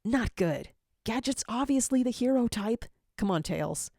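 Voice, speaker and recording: A woman's voice: a little high, expressive, unhurried, mellow, no room sound, clean studio sound, pitched above the performer's own voice. A woman voicing a friendly, casual male hedgehog hero with a chummy, persuasive manner. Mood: concerned.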